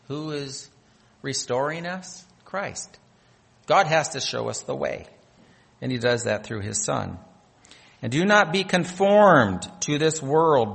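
A man's voice: mid-range voice, gravelly, fairly bright tone. A man from North Dakota delivers a sermon in a church hall, with a long echo trailing his words. He reads scripture aloud and swells in emphasis on key phrases. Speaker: pastor.

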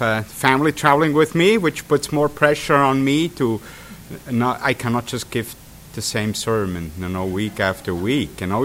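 Swiss-accented man preaching with halting fillers. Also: lowish voice, a bit bright, slight background noise. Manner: hesitant, many fillers and restarts. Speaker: traveling pastor. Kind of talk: sermon. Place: congregation hall.

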